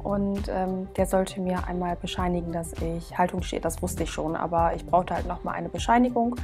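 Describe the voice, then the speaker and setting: A woman's voice: fairly low pitch, steady tone, normal speed, over music. A woman in her twenties earnestly telling her personal story and explaining things step by step to camera. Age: 20s. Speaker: interviewee. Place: indoor studio set, seated.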